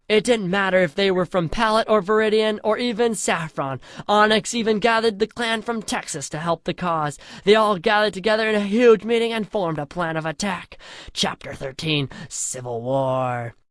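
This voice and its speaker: A storyteller giving a comedic reading of a crude parody fan story in a mock-epic way, shouting the dialogue and dropping low for the asides. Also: normal speed, no room sound, clean studio sound.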